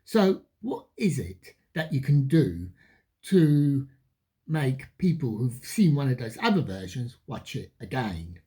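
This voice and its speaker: A film reviewer giving a retrospective commentary, phrasing things deliberately and stressing key words. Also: mid-range voice, big pitch swings, warm tone, breathy edge. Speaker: film reviewer, channel host.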